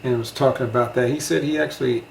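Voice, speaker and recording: A man's voice: mid-range voice, steady tone, quick pace, vocal fry. A man chatting casually and reminiscing as the guest in an interview. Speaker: interviewee, radio hobbyist. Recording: roomy echo, background noise.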